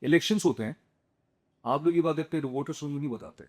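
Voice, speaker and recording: A man's voice: on the high side, fast talker, a little mellow. A man interviewing a politician, questioning him and pushing back on his answers. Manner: challenging, pushes back with questions.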